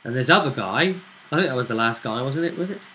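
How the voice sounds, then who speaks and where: A man's voice: medium pitch, animated, fast, pushed and bright, a little hiss or hum. A man hosting a casual recap of a tabletop roleplaying session, telling it in a conversational storytelling way. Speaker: host and game master recapping a tabletop session.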